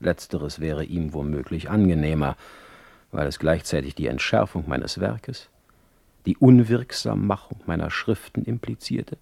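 Casting: ailing poet narrating letters in radio drama, very low creaky voice, dry close sound, ironic. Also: male voice, darkish tone, no echo, exaggerated voice. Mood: pensive, bitter. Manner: wry, eloquent, measured.

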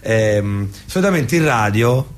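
A man's voice: fairly low pitch, lively intonation, measured pace. A man hosting a live radio show as an improv performer, talking casually and jokingly, often breaking off mid-sentence. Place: radio studio with others present. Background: live guitar music.

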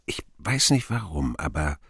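An actor playing a grown man who recalls his wounded boyhood in a radio play, reflective and dryly ironic, with a gravelly, husky voice. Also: very deep voice, very animated, unhurried, fairly bright tone, no room sound, studio quality, exaggerated voice. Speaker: narrator.

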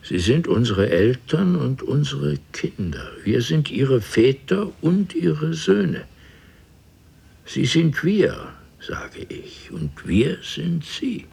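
An actor playing a solemn, mystical time traveller with a husky, gravelly voice and a grandiose, incantatory delivery in a radio play. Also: male voice, mid-range voice, big pitch swings, slow pace, darkish tone, full character voice. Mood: exalted. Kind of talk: theatrical radio play acting.

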